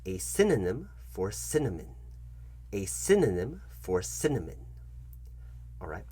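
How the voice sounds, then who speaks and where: Man with swooping, husky voice playing fussy interviewer. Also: fairly low pitch, big pitch swings, mellow, dry recording. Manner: fussy, halting, repeats himself, addresses listener directly. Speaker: ASMR channel host acting as job interviewer. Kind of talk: comedic interviewer roleplay monologue.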